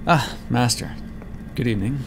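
Man acting a creaky-voiced, condescending vampire experimenter. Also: mid-range voice, moderate pace, noisy background, lightly acted. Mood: nervous, deferential. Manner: condescending, teasing, musing aloud. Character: clinical, smug male vampire experimenter toying with captive. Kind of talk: ASMR roleplay, intimate scripted monologue.

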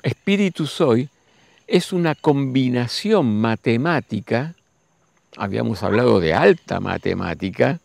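A man, giving a slow, musing spiritual monologue and speaking straight to his listeners. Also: mid-range voice, vocal fry, a little mellow, no room sound. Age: 60s. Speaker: spiritual teacher.

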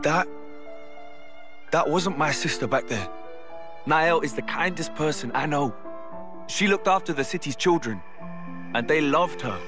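A man's voice: on the high side, animated, measured pace, long pauses, music in the background. A man playing a guarded, stubborn young man in a video game cutscene, speaking curtly and defiantly. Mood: protective, hurt. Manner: curt, stubborn.

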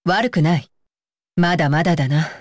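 A woman's voice: sits low, moderate pace, no echo, clean studio sound. A woman voicing a stern, dutiful young female ninja bodyguard for an anime game, with clipped, commanding and blunt phrasing. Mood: firm, composed. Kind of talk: Japanese anime game voice lines.

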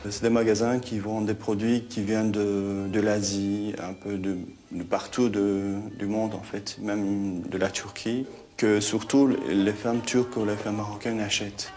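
A man speaking in a measured, explanatory way in a documentary interview, often repeating words as he goes. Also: lowish voice, flat delivery, darkish tone. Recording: music playing under the voice.

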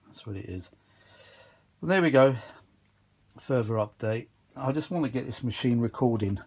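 Husky man, low-key rambling chat. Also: expressive, normal speed, a little mellow. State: tired. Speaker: vintage audio hobbyist vlogger. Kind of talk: casual rambling repair vlog chat. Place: indoors, small home workshop. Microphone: handheld phone or camera behind the lens.

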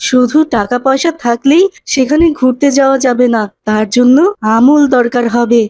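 A woman acts out a pious, gentle boy from a children's cartoon. The voice is high and very dry. He explains things earnestly, like an older brother to his friends. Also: moderate pace, dry recording, natural voice.

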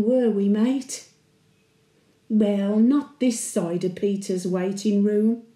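An actress playing a chatty, sentimental Birmingham widow of over a hundred in a homely, confiding monologue. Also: female voice, a little high, dark tone, echoey room. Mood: wistful, chatty. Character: 101-year-old widowed Brummie great-grandmother, chatty, sentimental, plain-spoken. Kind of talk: dramatic monologue, intimate storytelling.

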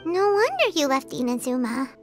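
A woman voicing a small, perky, childlike fairy companion with a squeaky voice in a video game. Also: big pitch swings, moderate pace. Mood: supportive. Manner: perky, speaks of herself in third person. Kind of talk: English video game voice acting. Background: background music.

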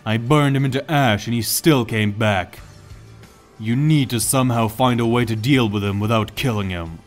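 A man's voice: medium pitch, normal speed, faint music behind, natural voice. Man playing a grave samurai hero. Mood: grave warning. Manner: earnest, warning. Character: grave, battle-worn male samurai hero. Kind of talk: fan audio drama acting.

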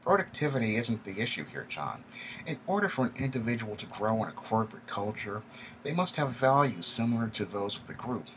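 A man's voice: on the low side, sing-song, a little fast, fairly bright tone, a little hiss or hum, voice pushed up, poor audio. A man voicing an arrogant, contemptuous male vice president in a story, with a twangy nasal, pompous delivery. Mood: cold disdain. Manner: pompous, jargon-heavy, condescending. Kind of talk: audiobook character dialogue.

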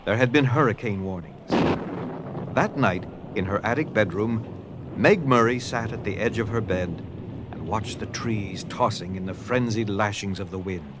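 A man's voice: lowish voice, normal speed, heavy background noise. A man reading scripted narration for an audio drama, telling the story dramatically.

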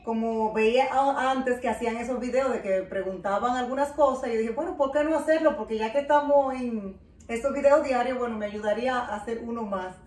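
A woman with a Dominican accent and a fairly high voice hosts a casual home vlog. She is recorded at some distance from the camera microphone, and the living room echoes clearly around her. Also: a little fast, a little mellow. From Dominican Republic. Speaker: vlog host. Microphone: camera a few meters away.

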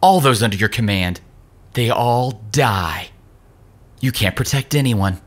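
A man's voice: medium pitch, lively intonation, a little slow. A man playing a mocking, contemptuous tormentor in an audio drama, accusing and crude. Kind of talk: scripted audio drama acting. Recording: music under the voice.

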